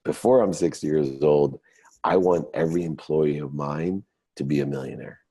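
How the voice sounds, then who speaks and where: A man's voice: a bass voice, moderate pace, mellow, breathy edge, no echo. A man of fifty giving earnest, emphatic motivational answers as an interview guest. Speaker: guest, motivational entrepreneur and speaker.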